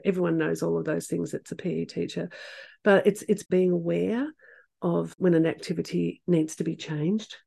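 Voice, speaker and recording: A soft-voiced woman in her sixties, her lowish voice carrying a gravelly, creaky edge. She talks in a relaxed, chatty way, doubling back on words as she goes. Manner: chatty, laughs often, restarts words. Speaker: PE teacher, guest. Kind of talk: casual interview chat.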